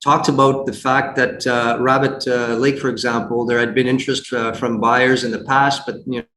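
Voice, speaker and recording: A man giving unscripted investment commentary as a guest, speaking haltingly with frequent repeats and false starts. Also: medium pitch, flat delivery, pushed and bright. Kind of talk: unscripted earnings call commentary. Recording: roomy echo, faint background noise.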